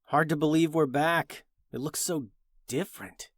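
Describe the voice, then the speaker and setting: A keen young man who flies drones and hungers for fortune, acted out by a male storyteller. He talks in a lively way in a children's space adventure. The recording is dry. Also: a little high, unhurried, dry recording. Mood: wondering.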